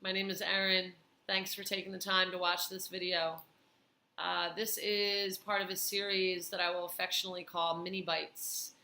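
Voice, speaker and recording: A tired woman talking slowly and thoughtfully in a personal vlog, pausing often with fillers. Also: fairly low pitch, little pitch movement, bright, forceful tone, echoey room. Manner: slow, thoughtful, with many pauses and fillers. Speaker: vlogger.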